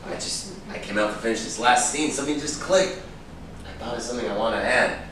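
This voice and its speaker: A man's voice: medium pitch, a little fast, close to an everyday voice. Distant echoey recording, man playing proud needy writer, intense, stage drama reading. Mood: driven. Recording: echoey room from far off, noisy.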